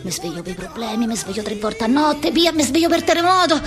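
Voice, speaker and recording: A woman's voice: a little high, fairly quick, soft background music, close to an everyday voice. An actress playing a neurotic, overwhelmed Roman street woman, rattling off staccato lists in a theatrical monologue with a Roman accent. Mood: restless, anxious. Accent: Roman Italian. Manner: rambling, staccato lists of short phrases.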